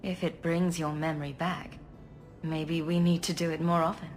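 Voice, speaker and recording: An actress voices a concerned female companion in a dramatic video game. Her voice sits a little low, and she is direct and questioning with her lover. Soft music plays faintly behind her. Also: flat delivery, moderate pace, lightly acted. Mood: reassuring.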